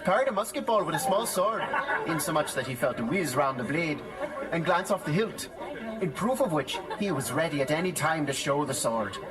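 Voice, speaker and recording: A man's voice: a little high, lively intonation, normal speed, crowd noise, natural voice. A man playing an earnest village storyteller in a theatrical audio drama, with grave, old-fashioned diction. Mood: boastful.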